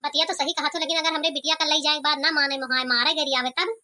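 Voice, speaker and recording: A woman's voice: high voice, steady tone, fast talker, pushed and bright. A woman voicing a protective, anguished village mother in an Awadhi cartoon, with an Awadhi accent and a plaintive, scolding manner. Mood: worried. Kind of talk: cartoon comedy voice acting.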